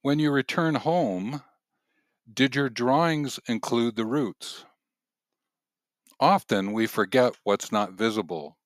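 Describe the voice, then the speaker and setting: A man narrating nature walking activities as a host, gently guiding in measured, deliberate phrases with pauses. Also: medium pitch, dry recording, clean studio sound.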